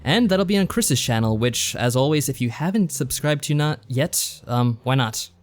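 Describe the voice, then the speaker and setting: A man with an average-pitched voice co-hosts a podcast. He talks in a loose, unscripted, easygoing style and tends to think out loud. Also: expressive, normal speed. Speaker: podcast co-host. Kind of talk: casual unscripted podcast chat about games.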